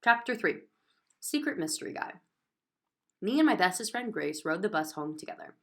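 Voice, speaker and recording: A woman's voice: fairly low pitch, sing-song, moderate pace, fairly bright tone. A woman reading a children's book aloud expressively, narrating in a child's first person.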